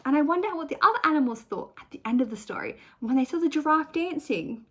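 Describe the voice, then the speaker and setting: High-voiced woman reading to children. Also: fast talker. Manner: expressive, clearly phrased, addressing children directly. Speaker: teacher reading a picture book to children. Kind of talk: storytelling read-aloud for young children.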